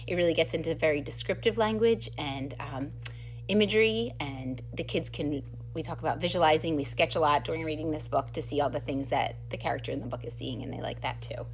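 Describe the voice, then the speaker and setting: Middle aged lady teacher doing a chatty book talk, bit low voice, enthusiastic n giggly, faint hum in the background. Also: a little fast, poor audio. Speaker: second grade teacher presenting book recommendations. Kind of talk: casual book talk to camera.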